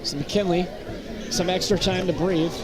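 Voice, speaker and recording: An American man doing live basketball play-by-play in short, clipped phrases that turn excitable on big plays. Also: high voice, normal speed. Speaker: play-by-play commentator. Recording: very loud gym crowd noise behind. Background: loud crowd and bouncing basketballs.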